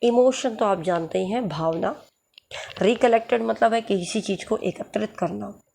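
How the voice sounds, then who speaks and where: A woman with a hoarse throat and an Indian English accent, teaching English literature for exams and explaining each word slowly. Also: mid-range voice, darkish tone, slight background noise. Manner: slow, explaining word by word, checks understanding. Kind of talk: exam-prep lecture in mixed Hindi and English.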